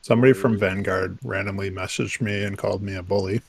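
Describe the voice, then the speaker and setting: Low-voiced man reporting in game chat. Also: fairly even intonation, fast talker, gravelly, crowd noise. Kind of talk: casual voice chat while gaming.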